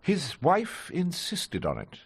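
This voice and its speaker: An actor playing a wry, sharp-witted ageing English defence barrister in a radio drama, droll and courtly. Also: male voice, on the high side, wildly swooping pitch, slow pace, husky, full character voice. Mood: droll, persuasive. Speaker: lead character and narrator. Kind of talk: radio drama acting and narration.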